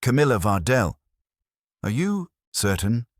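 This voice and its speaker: A man voicing a massive, grumpy genius detective, curt, deliberate and formal. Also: mid-range voice, sing-song, no room sound, clean studio sound, voice pushed up. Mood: gruff, imperious.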